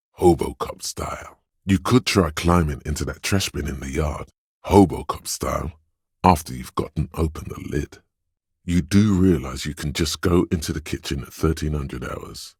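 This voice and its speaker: A man voicing a suave, wry inner voice of a detective in a video game, narrating in a measured, knowing way. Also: rumbling low, darkish tone, husky, dry recording, clean studio sound, heavily acted. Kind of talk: second-person game narration.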